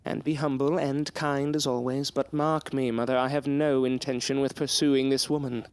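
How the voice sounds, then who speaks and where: A man plays a young period-drama gentleman, a bookish and headstrong student. His diction is formal and stiffly polite. The sound is close and dry. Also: medium pitch, flat delivery, normal speed, clean tone, no echo, lightly acted. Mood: exasperated, defiant. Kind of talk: period audio drama acting.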